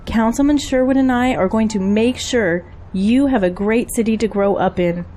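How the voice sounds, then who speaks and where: Busy political strategist and mom played by a woman, middle-aged, high-pitched, earnest, radio-drama delivery, slight noise behind. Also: moderate pace, dark tone, close to an everyday voice. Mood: earnest, pleading. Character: busy political campaign manager and single mother. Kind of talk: audio drama acting.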